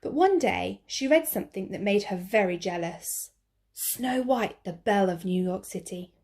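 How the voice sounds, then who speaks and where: Expressive woman reading a storybook. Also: medium pitch. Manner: slow, clear and expressive. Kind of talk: picture-book read-aloud.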